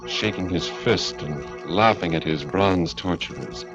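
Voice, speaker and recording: A man's voice: low voice, a little slow. A man announcing a suspense drama in the dramatic style of 1940s radio. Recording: dull old broadcast, loud organ music.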